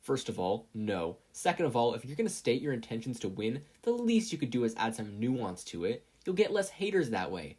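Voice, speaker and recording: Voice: mid-range voice, big pitch swings, fairly quick, few pauses, darkish tone, husky. A narrator voicing a nagging inner conscience that lectures in a dry, smug manner.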